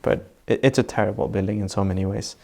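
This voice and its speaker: A man with a Swedish accent, a Swedish native speaker, answering interview questions as an architect in a measured, reflective way, laying out ideas step by step. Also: fairly low pitch, steady tone, vocal fry, mellow. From Sweden. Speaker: architect guest. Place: sitting indoors in Norway.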